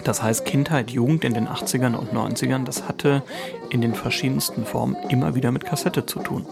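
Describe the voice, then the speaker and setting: A man's voice: mid-range voice, steady tone, a little fast, gravelly. A middle-aged man reminiscing in unscripted interview talk. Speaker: interviewee sharing memories. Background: loud music.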